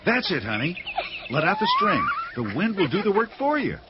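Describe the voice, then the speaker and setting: A gentle father speaks with a very animated, swooping pitch. It is a radio drama scene, slightly dull in sound, with loud outdoor ambience around him. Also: normal speed, heavy background noise. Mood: tender. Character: gentle father outdoors with his small daughter. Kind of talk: radio play acting.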